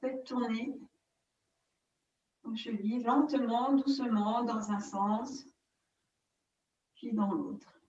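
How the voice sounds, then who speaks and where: Female yoga teacher, higher-pitched voice, very slow and calm guided relaxation, recorded some way from the microphone. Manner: calm, unhurried, pausing between short phrases. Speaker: yoga nidra instructor. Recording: distant mic, dull muffled sound.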